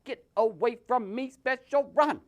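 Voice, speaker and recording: A man's voice: extremely high pitch, a little slow, dull audio. A man voicing a brusque woman puppet in an exaggerated, curt way for a comic puppet show. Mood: rejecting, annoyed.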